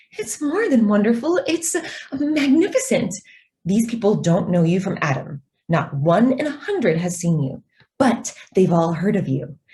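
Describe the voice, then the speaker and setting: A woman playing a devoted, idealistic young office secretary in a radio drama, speaking earnestly with deliberate emphasis. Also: medium pitch, warm tone. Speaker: actor.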